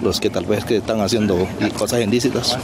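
A man's voice: lowish voice, steady tone, a little fast. A man in his forties, speaking hesitantly and searching for words in an outdoor interview into a handheld mic. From Honduras. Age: 40s. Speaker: migrant interviewee. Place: outdoors on gravel ground. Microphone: handheld reporter mic held close. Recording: noisy outdoor field recording.

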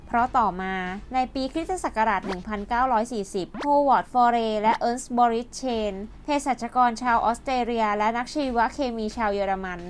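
A woman's voice: a little high, a little fast, hardly any pauses, slight background noise. A woman hosting and narrating a scripted educational piece, explaining politely to her viewers. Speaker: host and narrator.

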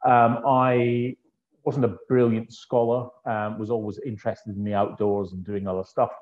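A Scottish man in his fifties from the northeast of Scotland, chatting as a guest over a video call with an earphone mic and telling his story in a relaxed, anecdotal way. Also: fairly low pitch, normal speed. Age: 50s. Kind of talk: conversational interview. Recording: dull lo-fi video call audio.

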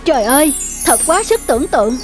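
A woman voicing an excitable, honest farmer in an animated folk-tale cartoon, exclamatory and indignant. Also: sits high, a little fast, soft background music. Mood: amazed. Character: excitable wronged sugarcane farmer, simple honest man.

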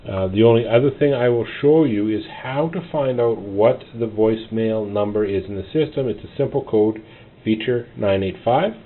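A man narrating a step-by-step tutorial as an instructor, often in short, halting instructional phrases. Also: on the low side. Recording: tinny phone-like audio, clear noise.

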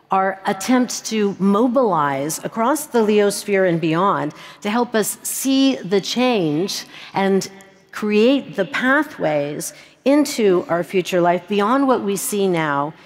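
A middle-aged woman moderating a conference panel on a large stage, measured and reflective, pausing on fillers, into a headset mic. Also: mid-range voice.

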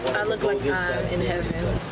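A woman's voice: fairly low pitch, steady tone, normal speed. A young woman in her twenties talking casually to her viewers, recorded on a handheld camera outdoors. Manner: chatty, laughing, addresses viewers. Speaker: vlogger. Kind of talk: casual travel vlog. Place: outdoors on a boat and at beach venues. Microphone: handheld camera at arm's length. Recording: loud music and crowd noise over voice. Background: loud music and crowd.

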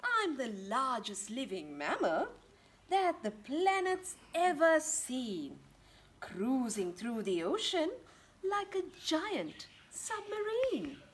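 Proud, enormous storybook whale female reader, grand, slow, with swooping pitch. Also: wildly swooping pitch, exaggerated voice. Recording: outdoor ambient garden noise.

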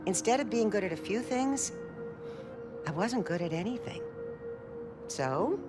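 A middle-aged woman voicing a caring, reflective mother figure in a video game, measured and gently encouraging. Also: medium pitch, expressive, over music, natural voice. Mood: gentle, reflective. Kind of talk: cinematic video game dialogue.

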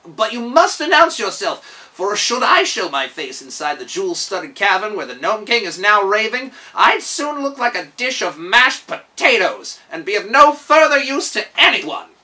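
A man's voice: high voice, big pitch swings, normal speed, bright, forceful tone, pitched above the performer's own voice, full character voice. A man voicing a wary, frank gnome steward in a storybook, speaking in an emphatic, cautioning way.

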